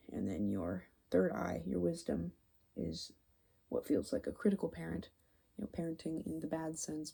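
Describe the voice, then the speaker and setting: A woman speaking softly in a rambling, casual ASMR style, musing and halting mid-thought, often slipping into a whisper. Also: low voice. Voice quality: very creaky vocal fry. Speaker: ASMR video creator.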